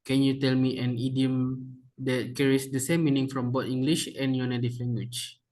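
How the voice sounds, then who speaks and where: A man's voice: medium pitch, flat delivery, moderate pace, a little mellow. A young man interviewing a fellow student, reading out prepared questions in a casual way with filler sounds. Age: young adult. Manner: casual, adds fillers, calls the guest bro.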